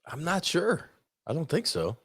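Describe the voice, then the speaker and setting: A man's voice: fairly high pitch, huge pitch swings, a little slow, fairly bright tone, slightly rough, dry recording, clean studio sound. A man co-hosting a live stream, reading out super chats with short, agreeable replies.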